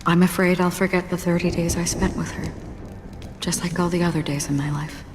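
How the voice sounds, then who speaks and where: An actress voicing a melancholy, detached female wanderer in a game, reflective, stoic and softly subdued. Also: fairly low pitch, steady tone, brisk, background noise, lightly acted. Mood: quiet grief. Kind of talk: dramatic game dialogue. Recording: long hall reverb, music behind. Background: music.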